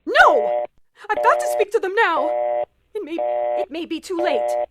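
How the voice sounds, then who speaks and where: A woman's voice: very high voice, huge pitch swings, unhurried, heavy background noise, heavily acted. A woman playing a frantic, self-pitying invalid wife in a 1940s-style radio suspense drama, nervous, demanding and hysterical. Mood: agitated demanding.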